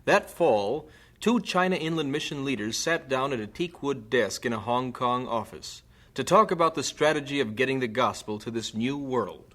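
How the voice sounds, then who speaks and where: A man with a bright, forceful voice of medium pitch narrates a radio drama. He delivers scripted scene-setting narration. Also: expressive, moderate pace.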